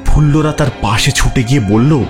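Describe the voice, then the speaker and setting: A man's voice: medium pitch, moderate pace, over music. A man giving a dramatic, suspenseful scripted narration of a horror story. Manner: suspenseful, theatrical.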